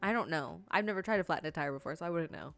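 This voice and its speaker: A woman's voice: low voice, animated, rapid-fire, a bit bright, faint music behind. A woman hosting a game playthrough in a chatty, rambling way, her nose stuffed up from allergies. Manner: chatty and rambling, with many fillers and self-corrections. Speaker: gaming video host. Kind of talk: casual let's-play commentary.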